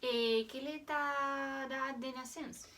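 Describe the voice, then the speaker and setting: A woman in her thirties from Spain hosting an interview, asking simple questions slowly and carefully and murmuring soft acknowledgements. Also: high voice, fairly even intonation, recorded from a distance, a little hiss or hum. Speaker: host interviewing a childhood friend. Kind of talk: scripted interview questions in learner French.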